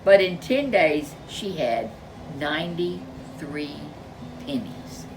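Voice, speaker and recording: Woman reading a kids story, slowish and really animated, lowish voice, music behind. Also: noisy background. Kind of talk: expressive children's storybook narration.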